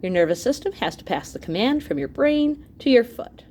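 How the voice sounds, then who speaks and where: Expressive woman reading a science book aloud. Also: on the high side. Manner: explanatory, expressive, pausing to clarify terms. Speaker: teacher reading aloud to students. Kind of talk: educational read-aloud of an illustrated science book.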